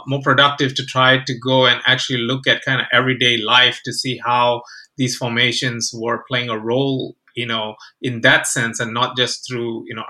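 A man from Malaysia speaks as a podcast guest. His voice is mid-pitched and strikingly bright, with a forward edge. He answers conversationally, feeling his way through phrases in a reflective manner. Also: low-quality recording. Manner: hesitant, reflective phrasing with frequent "you know".

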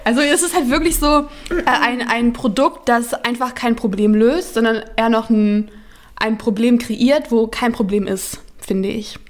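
A woman co-hosting a podcast in casual chat, lively and quick to laugh. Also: a little high. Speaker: podcast co-host.

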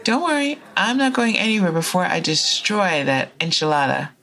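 A woman's voice: fairly low pitch, very animated, moderate pace, a bit bright. An actress playing an earnest, informal young woman traveling between worlds in an audio drama. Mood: friendly, playful.

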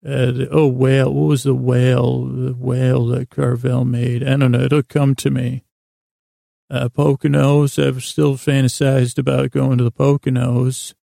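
A man hosting a bedtime podcast in a gravelly, creaky voice, rambling in a halting, meandering, gently silly way and often interrupting himself. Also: mid-range voice, level tone, dry recording, clean studio sound. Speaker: podcast host.

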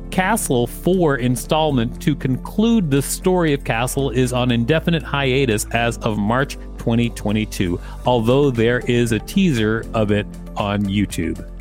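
A man's voice: mid-range voice, a little mellow. Wry man reading aloud with asides. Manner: wry, interrupts the text with sarcastic asides. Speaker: podcast co-host reading an article. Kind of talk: casual read-aloud with joking asides.

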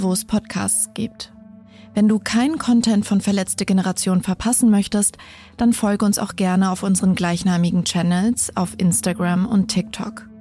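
A woman's voice: medium pitch, dark tone. A woman giving a scripted announcement of podcast credits. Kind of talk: scripted narration. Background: music.

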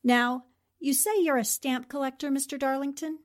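An actress as a high-voiced, inquisitive lady reporter. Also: steady tone, normal speed, natural voice. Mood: politely curious. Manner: polite, probing questions. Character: inquisitive, poised female newspaper reporter. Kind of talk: old-time radio drama acting.

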